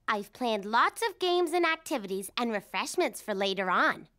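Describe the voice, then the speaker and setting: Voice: medium pitch, very animated, normal speed, sharp tone. An actor voicing a bossy, organised young girl bunny in a children's cartoon, speaking in a prim, instructive way. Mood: polite hostess. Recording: music bed behind dialogue.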